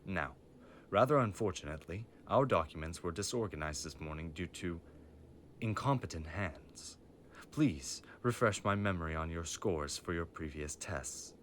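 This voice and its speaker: A man's voice: deep voice, expressive, moderate pace, a bit bright, a little hiss or hum. A man playing a stern, menacing mafia boss father with a formal, commanding manner in an audio roleplay. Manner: formal, commanding, coldly threatening.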